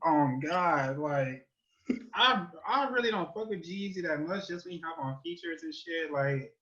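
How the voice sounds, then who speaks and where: An American man co-hosting a podcast, giving album reviews in casual, profane, animated and exasperated slangy banter. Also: fairly high pitch, a little slow, muffled recording.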